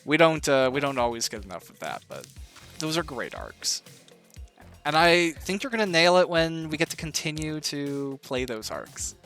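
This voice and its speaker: A man's voice: fairly high pitch, sing-song, normal speed, fairly bright tone, soft background music. A man hosting a casual interview, talking in an encouraging and reflective way. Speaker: interview host and author.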